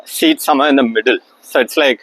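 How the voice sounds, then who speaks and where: A middle-aged man talks through his ideas in a loose, reflective monologue. His pitch sits in an ordinary middle range for a man, and he often pauses on "uh" and restarts his sentences. He was recorded on his own camera, set up across the room. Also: fairly bright tone, no room sound, poor audio. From India. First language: Tamil. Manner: thinking aloud with many fillers and restarts. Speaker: host.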